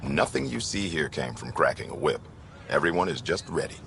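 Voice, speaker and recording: An actor playing a plain-spoken, hardworking colony mayor in a space game, modest and down-to-earth. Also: male voice, extremely low pitch, sing-song, a little fast, gravelly, a bit bright, slight background noise, exaggerated voice. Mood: steady, eager. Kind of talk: video game cutscene acting.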